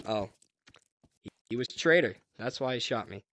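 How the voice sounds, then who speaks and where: A man's voice: mid-range voice, expressive, normal speed, a bit bright. A man hosting a gaming video, chatting casually and joking with a teammate while he plays. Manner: jokey, chatty, talks to teammate while playing. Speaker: gaming channel host. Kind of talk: casual gameplay commentary. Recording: gunfire and clicks behind. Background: game gunfire.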